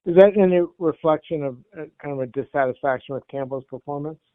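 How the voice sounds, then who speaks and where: A man's voice: on the high side, fast talker, no echo. A man asking press questions as a sports reporter, hesitant and roundabout, over a phone line. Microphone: phone conference call. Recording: narrow phone line, dry.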